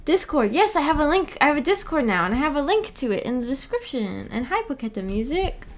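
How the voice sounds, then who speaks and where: Woman streamer, slightly high voice. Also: sing-song, fairly quick. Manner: giggly, hesitant, repeats words. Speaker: streamer hosting a book club discussion. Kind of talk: casual livestream chat, reading and answering chat.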